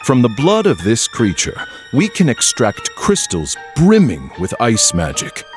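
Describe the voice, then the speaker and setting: A man's voice: mid-range voice, wildly swooping pitch, unhurried, soft background music. A man playing an urbane, theatrical scholar villain in a video game, grandiose and savoring his words. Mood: grandiose, lecturing. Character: smooth, theatrical scholar villain with hidden malice. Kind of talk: dramatic game voice acting.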